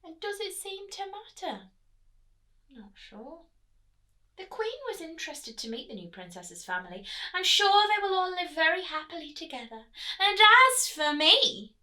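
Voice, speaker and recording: A woman reading a children's storybook aloud in an expressive, dramatic way, as if to young listeners. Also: high-pitched. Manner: dramatic, asks listeners questions, drops to whispers. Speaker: storytime reader, teacher.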